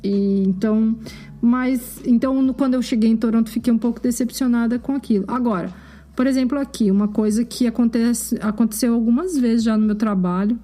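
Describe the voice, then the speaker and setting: Frank, animated Brazilian woman. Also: fairly high pitch, a little fast. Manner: animated, frank, swears when annoyed, laughs easily. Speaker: podcast guest. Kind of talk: casual chat.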